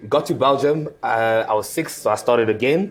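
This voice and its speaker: Brief: French-native man from the Congo, 30s, energetic interview guest with punchy rhetorical questions, mid-pitched voice. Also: moderate pace. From Democratic Republic of Congo. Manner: animated, emphatic, rhetorical questions. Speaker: consulting director, guest. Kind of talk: conversational interview. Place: conference.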